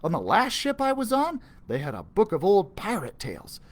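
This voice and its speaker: A man voicing an excitable young pirate boy who is full of ideas, in a storybook. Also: sits high, moderate pace, voice pushed up, exaggerated voice. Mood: excited.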